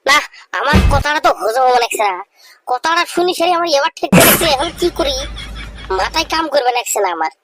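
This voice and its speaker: A woman's voice: high voice, animated, brisk. A woman playing an aggrieved poor villager in an amateur comedy skit, complaining insistently in a rural Bengali dialect. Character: aggrieved poor villager scheming over family land. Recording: noisy amateur recording with clicks.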